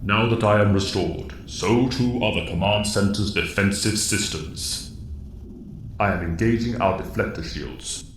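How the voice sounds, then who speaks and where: A man playing a wise, commanding mentor living inside a computer, speaking in a grave, measured, formal way in an audio drama. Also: fairly low pitch, reverberant, slight background noise, lightly acted.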